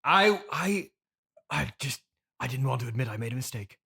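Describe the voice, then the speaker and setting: A man's voice: medium pitch, lively intonation, normal speed, vocal fry, pushed and bright, slightly rough, heavily acted. A man playing a vain, needy seventy-year-old classically trained stage actor, theatrical and self-important, in an improvised comedy interview. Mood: wounded pride. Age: older.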